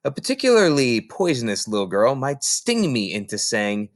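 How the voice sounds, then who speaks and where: A man narrates a children's book with theatrical emphasis. His mid-pitched voice swings widely in tone, and the recording is close and dry, with very little room sound. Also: sing-song, moderate pace, dry recording. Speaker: storyteller reading a children's book aloud. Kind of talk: expressive children's read-aloud narration.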